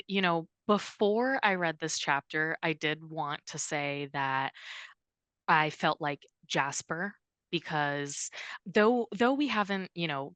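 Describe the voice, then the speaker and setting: A woman's voice: deep voice, fairly bright tone, clean tone, dry recording. A woman co-hosting a casual comedic book chat, playful and jokey, with some swearing. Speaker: podcast co-host.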